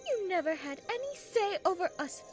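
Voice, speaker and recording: A high-voiced, petulant female fantasy creature acted by a woman, swooping and scornful as she makes her demands. She takes her time over the words, with music faintly behind her. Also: sing-song, normal speed. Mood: petulant outrage. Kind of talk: fantasy audio drama acting.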